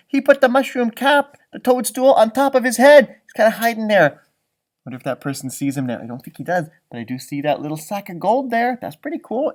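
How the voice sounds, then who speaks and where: A man reading a picture book to children, with chatty, wondering asides as he talks to his young listeners. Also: high voice. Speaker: storytime reader for children.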